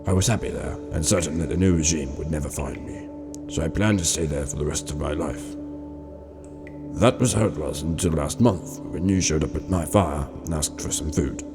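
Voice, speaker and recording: A man playing a gentle, wise old exiled king and grandfather in an amateur radio drama, speaking in a measured, formal, deliberate way. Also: extremely low pitch, over music. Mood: earnest, solemn.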